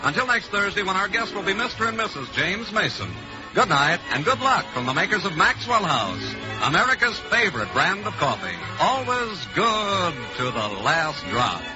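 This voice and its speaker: A man's voice: high voice, sing-song, normal speed. A man announcing ads and sign-offs on 1940s radio with polished, emphatic salesmanship. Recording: muffled 1940s radio broadcast with music.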